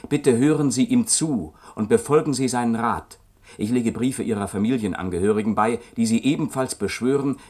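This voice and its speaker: An actor in a German radio play playing an indignant, dogged defense lawyer, articulate, earnest and formal. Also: male voice, medium pitch, darkish tone, breathy edge.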